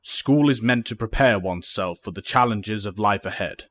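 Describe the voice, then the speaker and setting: Man playing a low-voiced, measured headmaster. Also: no echo, lightly acted. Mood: patient, serious. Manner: measured, formal, gentle authority. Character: calm, fatherly male headmaster and mentor. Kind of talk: audio drama acting.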